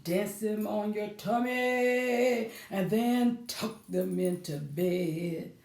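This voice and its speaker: A woman's voice: medium pitch, extremely slow, creaky, darkish tone. A woman presenting a children's storytime with rhymes and stories, in a sing-song, coaxing way that invites children to join in. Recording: roomy, echoing sound, distant from mic.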